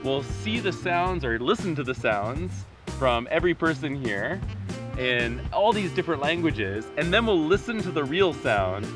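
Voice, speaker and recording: A playful man hosts a group chat, laughing a lot and mimicking animal sounds. His voice is somewhat high and very lively. Music plays behind him. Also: normal speed. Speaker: host.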